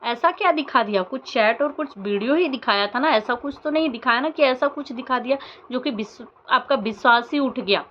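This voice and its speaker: A woman's voice: fairly high pitch, brisk, hardly any pauses. A young woman vlogger giving earnest, emotional commentary to camera, firing off rhetorical questions with many fillers. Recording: dull lo-fi sound with faint background noise.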